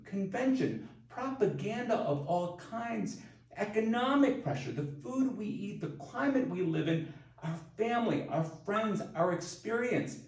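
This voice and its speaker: A man reading his own self-help audiobook in scripted narration, with deliberate, emphatic phrasing and pauses. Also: high voice, a little mellow. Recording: roomy echo, distant from mic.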